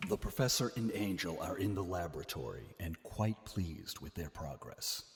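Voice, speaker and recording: A man's voice: low-pitched, big pitch swings, normal speed. A man narrating a horror drama as a scripted, dramatic storyteller. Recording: long hall-like reverb.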